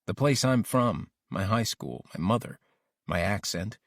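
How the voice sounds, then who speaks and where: Man playing a brooding, intense teenage boy, low-voiced. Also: very animated, unhurried, no room sound, studio quality, exaggerated voice. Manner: introspective, self-questioning, intense. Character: ambitious, lovesick, brooding teenage boy writing a diary. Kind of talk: audiobook narration of a first-person diary.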